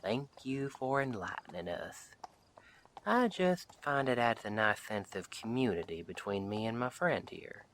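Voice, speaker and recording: A man playing a friendly wandering Western sheriff in an audio roleplay, chatting to the listener in a folksy, wry, unhurried way. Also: medium pitch, big pitch swings, a bit bright, a little hiss or hum. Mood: wry, chatty. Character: friendly wandering Western sheriff, easygoing drifter, dryly humorous.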